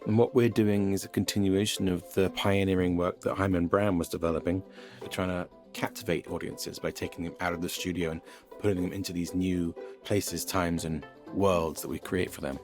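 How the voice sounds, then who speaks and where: A man's voice: sits low, fairly even intonation, normal speed, over music. A man giving interview comments as a sound designer.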